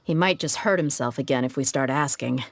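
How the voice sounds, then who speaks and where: A woman voicing a tough, confident, blunt female mercenary in a video game, with a brisk, cocky manner. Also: a bass voice, no room sound. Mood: calm, concerned. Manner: brisk, cocky, casually menacing.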